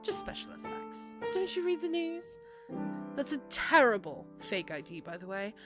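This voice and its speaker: A woman's voice: high voice, big pitch swings, normal speed. A woman playing a sly, teasing devil goddess in a fan-dubbed comic drama, drawling and mocking with theatrical superiority over piano music.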